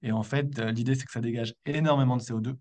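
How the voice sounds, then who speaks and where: A man's voice: medium pitch, fairly even intonation, fast talker, a little mellow. A man in his thirties, an entrepreneur telling his story casually as a podcast guest, informal and a little hesitant. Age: 30s. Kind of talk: casual spoken account in an interview.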